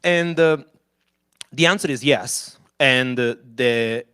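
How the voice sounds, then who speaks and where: A man's voice: mid-range voice, slow, fairly bright tone, clear, resonant tone. A man from Italy with an Italian accent, giving a loose, conversational conference talk at an event venue on a handheld mic, with frequent restarts and fillers. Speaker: company executive presenting.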